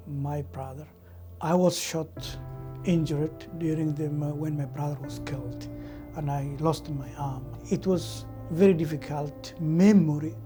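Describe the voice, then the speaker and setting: Male journalist from Somalia, measured documentary testimony, music bed underneath. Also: a little high. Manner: slow, measured, halting. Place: United Kingdom.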